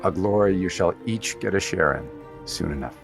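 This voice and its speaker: An actor playing a seasoned, world-weary hunter guide in a sci-fi audio drama, speaking in a measured, dry, authoritative way. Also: male voice, deep voice, creaky, faint music behind. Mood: commanding. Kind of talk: audio drama acting with narration.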